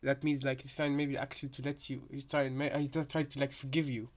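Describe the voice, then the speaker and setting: A man with a mid-pitched, fairly monotone voice chats casually as a co-commentator. He thinks out loud, circling back and repeating himself while he works out what things mean. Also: fairly quick. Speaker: co-commentator reacting to game voice lines.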